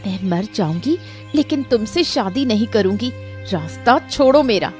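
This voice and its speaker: A woman plays a young woman in a cartoon story, frightened yet defiant. Her voice is pleading and indignant, rising and swooping in pitch. Music plays behind her. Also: expressive, moderate pace, darkish tone, natural voice. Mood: angry, defiant. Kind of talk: dramatized Hindi cartoon story.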